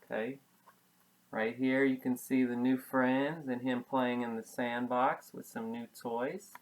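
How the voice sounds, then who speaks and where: A man's voice: medium pitch, level tone, slow pace, low-quality recording. A man reading a storybook aloud as a preschool teacher, patiently explaining it and repeating key words. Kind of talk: children's storybook read-aloud with simple explanations.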